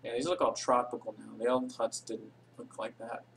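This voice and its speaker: A man talks through a game tutorial in an offhand, unscripted way, his pitch sitting in the middle of the usual range. He wanders between points, corrects himself and fills gaps with ums, and calls his own voice boring. A faint hiss sits under his desktop mic. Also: flat delivery, normal speed. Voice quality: says he has a boring voice. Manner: rambling, unscripted, many ums and self-corrections. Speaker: game tutorial channel host. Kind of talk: casual screen-recorded game tutorial.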